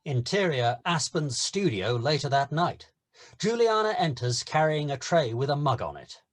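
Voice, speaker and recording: Husky, animated male narrator. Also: a little high, moderate pace. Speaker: narrator of stage directions. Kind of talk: scripted screenplay narration.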